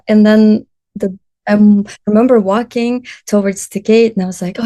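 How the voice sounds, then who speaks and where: Brief: Russian-born woman, thirties, relaxed podcast guest, laughs often, loose non-native grammar. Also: mid-range voice. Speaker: podcast guest, photographer and traveler. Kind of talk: casual interview chat.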